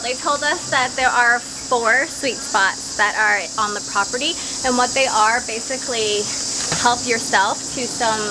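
A young woman from Canada talks brightly and a little high, chatty and quick to explain as she vlogs. She is recorded outdoors beside a resort pool on a nearby handheld camera. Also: very noisy. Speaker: travel vlogger. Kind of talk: casual chat. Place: outdoor resort pool, then indoor spaces.

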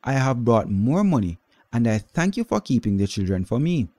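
A man voicing a loving young mother with a gentle, reassuring manner in a storybook reading. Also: mid-range voice, very animated, moderate pace, no room sound, lightly acted.

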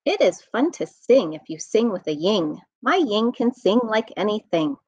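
An American woman, around her thirties or forties, reading a rhyming children's book aloud in an expressive, sing-song way. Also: medium pitch, moderate pace, breathy edge. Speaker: children's storytime reader. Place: room at home. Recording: dull, muffled webcam audio.

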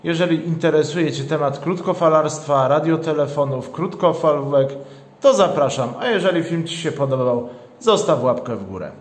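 A man presenting a product step by step in a measured, matter-of-fact way with halting phrases. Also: a little high, expressive. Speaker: product reviewer, channel host. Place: indoors, tabletop product shoot. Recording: roomy indoor echo.

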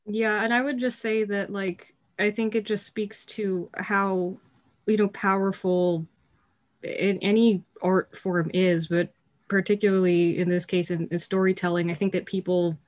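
A woman's voice: medium pitch, steady tone, a little slow. A young woman talking casually and reflectively on a video call. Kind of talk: reflective casual panel talk. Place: indoor room on a video call.

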